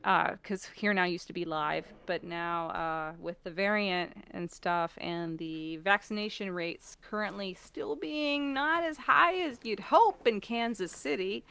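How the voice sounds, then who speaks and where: Smooth-voiced, chatty American woman. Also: mid-range voice, unhurried, hardly any pauses, pushed and bright. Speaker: voice actor and performer, guest on a stream. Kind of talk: casual, animated chat.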